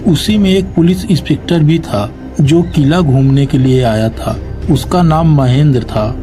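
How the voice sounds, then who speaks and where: Male storyteller, slightly high voice. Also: a little slow. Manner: plain storytelling, steady. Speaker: narrator. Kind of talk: storybook narration. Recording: music playing underneath.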